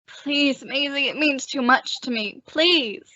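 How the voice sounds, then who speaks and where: A woman's voice: sits high, takes it slowly, pushed and bright, dry recording, heavily acted. A woman playing a desperate, lovesick husband with urgent, earnest pleading, in old-time radio drama style. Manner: urgent, imploring delivery. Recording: dull lo-fi close dry recording.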